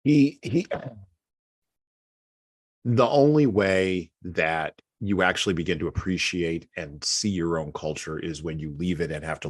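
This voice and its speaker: Podcast host, male, very deep and breathy tone, reflective with lots of ums. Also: no echo, studio quality. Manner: thoughtful, filler-heavy with ums. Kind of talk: casual discussion.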